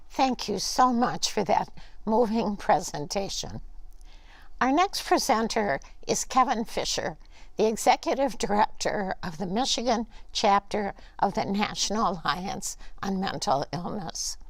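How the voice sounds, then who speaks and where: A woman hosting a conference, reading a prepared introduction of the next speaker in a measured, earnest way. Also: mid-range voice, lively intonation, no echo. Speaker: conference host. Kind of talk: scripted introduction.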